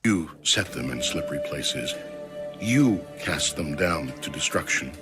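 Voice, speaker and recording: A man giving a solemn, measured and dramatic scripted reading of scripture, like an audiobook. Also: extremely low pitch, expressive. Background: music.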